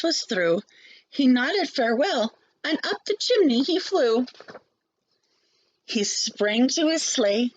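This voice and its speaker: A woman schoolteacher reading rhyming children's verse aloud with an expressive, sing-song storybook delivery. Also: on the high side, low-quality recording.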